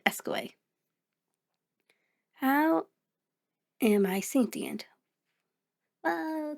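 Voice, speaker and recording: A woman's voice: fairly high pitch, darkish tone, heavily acted. A woman voicing a sentient mirror reflection in an ASMR audio roleplay, speaking directly to the listener in a conversational, hesitant, explanatory way. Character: sentient female mirror reflection, sheepish, chatty, patient explainer.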